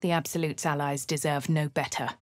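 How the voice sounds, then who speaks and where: A woman with a posh English accent speaking a game hero's lines and first-person narration in a measured way. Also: sits low, steady tone, dry recording, clean studio sound. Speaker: player character voice. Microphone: studio mic.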